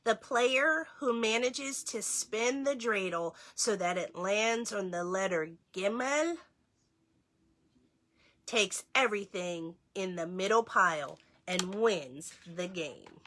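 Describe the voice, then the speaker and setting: A woman hosts a children's story time with a bright, forward voice. She takes her time, phrasing things clearly and speaking straight to her young listeners. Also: medium pitch, expressive. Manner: slow, clearly phrased reading, addresses young listeners directly. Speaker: story time host reading aloud. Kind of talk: children's read-aloud with casual asides.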